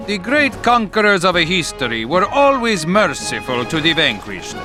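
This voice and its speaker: An actor playing a pious, wry Italian officer in a video game cutscene, with an Italian accent and theatrical, expressive phrasing. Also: male voice, sits high, normal speed. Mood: playful, wry. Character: pious, wry Italian tank officer, anxious about his brother. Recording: loud music under the voice.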